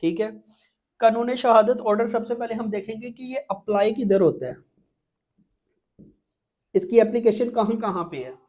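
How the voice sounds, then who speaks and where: A man's voice: high voice, moderate pace, long pauses, darkish tone. A man with a Pakistani accent giving an exam-prep lecture, explaining step by step as a teacher and often repeating points with little check-in words.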